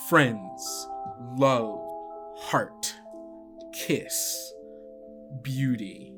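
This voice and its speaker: A man improvising descriptive narration as game master of a tabletop roleplaying game, in a halting way. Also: mid-range voice.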